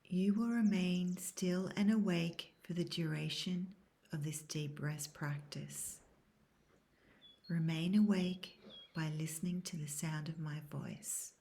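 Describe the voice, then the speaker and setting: A woman with a low, mellow voice leads a guided meditation. She speaks very softly and breathily, close to a whisper. Her delivery is calm, soothing and unhurried, with long, measured instructions. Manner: soft, breathy, hushed, near-whispered delivery. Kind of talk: guided yoga nidra meditation, scripted.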